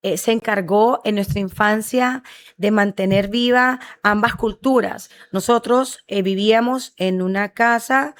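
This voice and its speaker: A woman's voice: mid-range voice, unhurried. A middle-aged woman from Honduras, a guest in an interview who tells her stories with enthusiasm. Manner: animated, enthusiastic storytelling. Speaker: guest, restaurant founder. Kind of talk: interview conversation.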